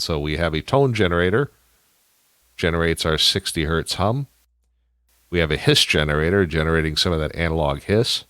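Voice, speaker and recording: A man with a very deep voice hosts a casual audio tutorial. He explains each step in an informal, conversational way. The sound is very dry, with no room around it. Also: fairly bright tone, clean tone, no room sound.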